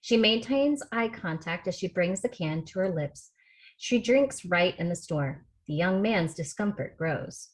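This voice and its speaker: Slightly low-voiced woman reading screenplay directions. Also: animated, a little slow, poor audio. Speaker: reader of stage directions. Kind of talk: scripted screenplay narration. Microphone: video call.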